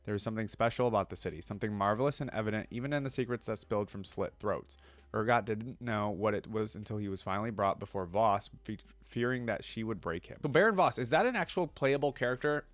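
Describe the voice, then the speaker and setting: A man's voice: lowish voice, no room sound. A man making a reaction video, switching between reading lore aloud and informal, musing commentary. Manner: informal, pausing to explain and muse.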